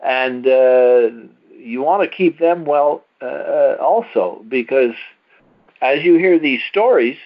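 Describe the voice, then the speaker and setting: A man's voice: mid-range voice, slow pace. A man hosting a conference call, giving an informal, explanatory and persuasive sales talk to his listeners. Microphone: conference call line. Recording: dull, lo-fi conference call audio.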